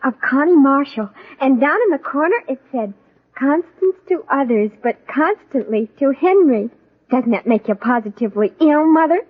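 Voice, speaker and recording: A disapproving teenage girl, high-voiced and sweepingly dramatic, with sarcastic exasperation. It has the style of 1940s radio comedy and a tinny, phone-like sound. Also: animated, moderate pace. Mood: exasperated. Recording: tinny old radio recording.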